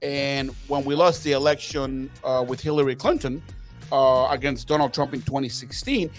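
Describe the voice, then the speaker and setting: A man's voice: medium pitch, normal speed, faint music behind. Guy in his thirties from venezuela, podcast guest, pumped up and emphatic. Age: 30s. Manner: emphatic, upbeat, motivational. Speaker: podcast guest, marketing consultant. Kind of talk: casual interview talk.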